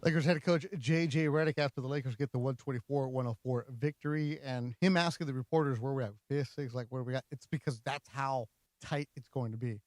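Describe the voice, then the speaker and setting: A man hosting a sports talk radio postgame show, conversational and energetic, with playful asides to listeners. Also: medium pitch, brisk, no echo.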